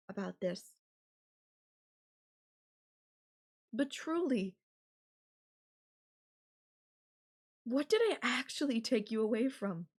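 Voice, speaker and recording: A woman playing an obsessive, possessive, murderous girlfriend in an ASMR audio roleplay, speaking deliberately with drawn-out phrases and pauses. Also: a little high, darkish tone. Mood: condescending.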